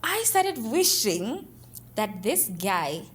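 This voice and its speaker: A young woman telling a personal story in a lively, dramatic way and dropping in Swahili words. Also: medium pitch, slow. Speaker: storyteller guest clip.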